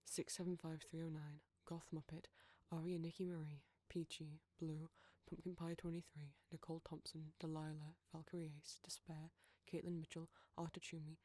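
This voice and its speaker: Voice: mid-range voice, little pitch movement, moderate pace, few pauses, dark tone, no echo. A British audio roleplay creator reading out a scripted outro of thanks and credits.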